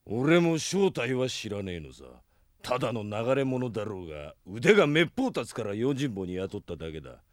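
A man playing a swaggering, scheming bandit chief in a Japanese radio drama, with a rough way of talking. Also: medium pitch, sing-song, normal speed, no room sound, studio quality, close to an everyday voice. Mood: gloating, scheming.

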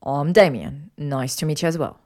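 A suave young gentleman wizard played by a woman narrator in an audio story. His voice sits low, with a courteous, smooth, understated manner. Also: normal speed, natural voice.

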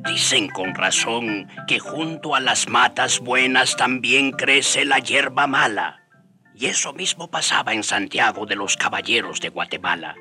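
Bright, forceful male narrator. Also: mid-range voice. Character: storytelling narrator recalling the friars' mission. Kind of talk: scripted radio drama narration.

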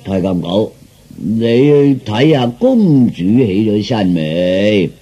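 Man doing a low, pompous aging emperor. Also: very animated, takes it slowly, mellow, slightly rough, highs cut off, full character voice. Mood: pompous scolding. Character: pompous, gullible self-styled emperor, man of fifty-nine.

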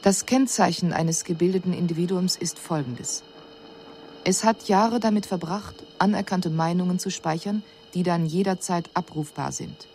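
An actress playing an extraterrestrial observer in a radio drama, reporting on human customs in a clinical, measured, matter-of-fact way. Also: female voice, fairly low pitch, darkish tone, a little hiss or hum, lightly acted. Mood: detached, analytical.

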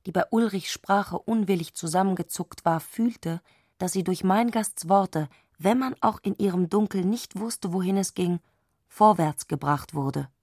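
A woman narrating a literary radio play, telling the story in measured, long sentences. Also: medium pitch, a little mellow, no room sound, studio quality.

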